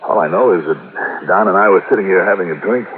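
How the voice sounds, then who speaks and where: An actor playing a shrewd, dazed investigator in an old radio detective drama, hardboiled and halting. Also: male voice, low-pitched, lightly acted. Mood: groggy, shocked. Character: dazed, shrewd male insurance investigator narrating his case. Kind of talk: 1950s-60s radio detective drama acting. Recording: tinny, noisy old radio recording.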